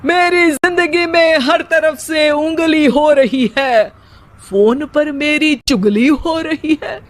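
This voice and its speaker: A lazy young husband in a cartoon, acted out by a woman, who fancies himself a poet. He declaims slowly and theatrically, as if every line were a couplet. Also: sits high, voice pushed up. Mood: smug declaiming. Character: lazy, theatrical young married man who spouts comic rhyming poetry. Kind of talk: cartoon story acting.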